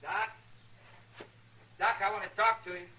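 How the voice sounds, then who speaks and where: An American actor playing a boastful, pleading wounded gunfighter in a radio western, with a rustic drawl and rough grammar. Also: male voice, high-pitched, steady tone, unhurried, noisy background. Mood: anxious, pleading. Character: whining, boastful wounded gunfighter of the Old West. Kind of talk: 1950s radio western acting. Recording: old-time radio recording.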